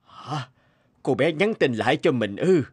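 A gentle, consoling older man. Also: mid-range voice, sing-song, measured pace. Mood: wistful. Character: kind older man who lost his only son. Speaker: voice actor. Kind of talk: animated drama dubbing. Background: background music.

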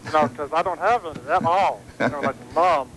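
A man's voice: fairly high pitch, brisk, a bit bright. A young man making a prank call to a live show over the telephone. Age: young adult. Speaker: prank caller. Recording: faintly noisy old phone-in tape.

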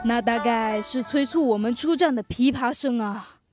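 A woman's voice: on the high side, normal speed, loud music behind the voice, close to an everyday voice. A teenage girl playing a dutiful, idealistic male soldier in a student radio drama, reciting earnestly. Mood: respectful.

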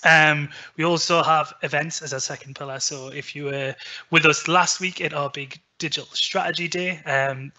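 Chatty Geordie man with a bright voice. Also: mid-range voice. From Newcastle, England. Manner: chatty, frequent ums and self-corrections. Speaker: webinar presenter. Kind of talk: presenting a webinar. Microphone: video call webcam.

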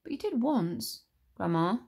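A woman's voice: medium pitch, big pitch swings, a little slow, gravelly, a little mellow, husky, heavily acted. A woman voicing an eight-year-old boy who pleads and whines at his grandmother. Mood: pleading. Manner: whining, pleading.

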